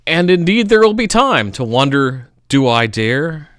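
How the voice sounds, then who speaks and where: Slow, theatrical man reciting poetry. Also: fairly high pitch, very animated, no echo, studio quality. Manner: theatrical, with dramatic pauses and emphatic swells falling to hushed line endings. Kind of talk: melodramatic poetry reading.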